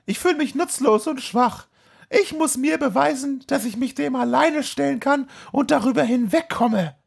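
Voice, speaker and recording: A man's voice: very high voice, moderate pace, vocal fry, breathy edge, voice pushed up, exaggerated voice. A man voicing a troubled, sarcastic young woman in a comic audio play, with a dramatic, incredulous manner. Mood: defensive.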